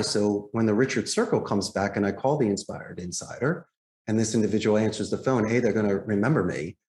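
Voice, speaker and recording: Low-voiced man in an echoey room. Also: a little mellow. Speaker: podcast guest, call center owner and trainer. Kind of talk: explanatory interview answer. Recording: roomy, echoing sound.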